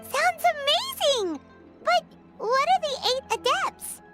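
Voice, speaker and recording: A very high, childlike voice for a small fairy companion in a video game. She is eager and curious, swooping animatedly as she exclaims, with music underneath. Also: animated, slow, a bit bright, full character voice. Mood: curious, excited. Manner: exclaiming, eager, speaks of herself in third person. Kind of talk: English video game voice acting.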